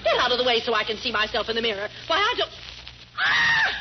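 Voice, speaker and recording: High, swooping, bossy radio mother. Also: wildly swooping pitch, fast talker, shouting. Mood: outraged, bossy. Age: middle-aged. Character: vain, indignant, bossy mother in a sitcom. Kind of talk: 1940s radio comedy acting. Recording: muffled, noisy old radio broadcast.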